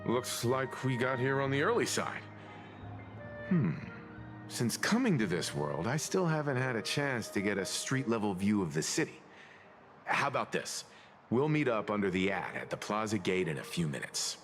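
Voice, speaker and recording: A man playing a composed, genial travel companion in an anime-style video game, speaking in a measured, informative, polite way. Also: fairly low pitch, sing-song. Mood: calm, genial. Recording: music behind the voice.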